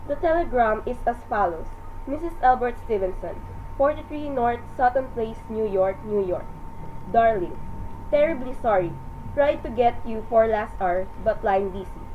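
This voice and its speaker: Fairly high female voice, actress acting, impersonal telegram clerk for radio play, clipped and formal, thin telephone line. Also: animated, normal speed. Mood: businesslike. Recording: tinny phone-like sound, faint noise.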